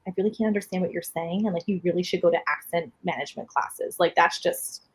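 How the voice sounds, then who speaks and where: A woman from New York City with a New York area accent, a speech therapist answering interview questions in a steady, explanatory way full of "like.". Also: lowish voice, quick pace, breathy edge, low-quality recording. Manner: explanatory, steady, many fillers of "like". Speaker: speech-language pathologist guest.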